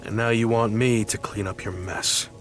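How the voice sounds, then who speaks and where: A man's voice: fairly low pitch, steady tone, moderate pace, music in the background, lightly acted. A man playing a stern, proud soldier in a video game, speaking tersely and formally. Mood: stern, grudging. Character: stern, proud soldier of the sun, curt hero. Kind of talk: serious video game acting.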